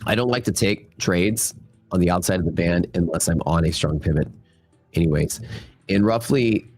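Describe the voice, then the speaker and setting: A man's voice: low-pitched, moderate pace, soft background music. A man hosting a live futures trading stream, talking loosely to his chat, his voice worn and nasal from a cold. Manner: loose, filler-heavy talk to the chat. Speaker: futures trading livestream host. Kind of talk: casual live market commentary.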